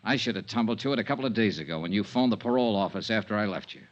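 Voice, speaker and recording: A man with an American accent playing a weary, hard-boiled insurance investigator in a crisp, wry 1950s radio detective style. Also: on the low side, very fast, muffled recording, natural voice. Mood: accusing. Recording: band-limited old radio recording.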